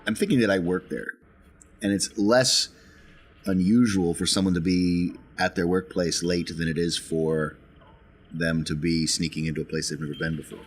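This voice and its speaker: A man's voice: low-pitched, fairly even intonation, moderate pace, husky. A man playing a tabletop roleplaying game, improvising his character's actions and plans in casual talk.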